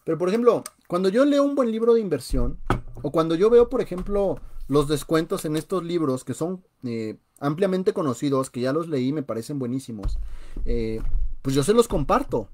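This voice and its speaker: A man's voice: a little high, expressive, normal speed, darkish tone. Young Mexican man on a webcam talk. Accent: Mexican Spanish. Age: young adult. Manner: conversational, emphatic, leans on filler "o sea". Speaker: personal finance host. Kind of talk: live-streamed finance talk. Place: small room at home, indoors. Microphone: webcam on a video call.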